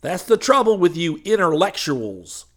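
A man voicing a pushy, folksy con-man preacher in an audiobook, impassioned and a little hoarse. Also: sits high, sing-song, moderate pace, pitched above the performer's own voice. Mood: growling, scornful. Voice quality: hoarse, breathless.

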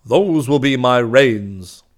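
A man's voice: medium pitch, expressive, slow. A man voicing a merry, eager old toy maker in a hearty, cheerful way for a storybook reading.

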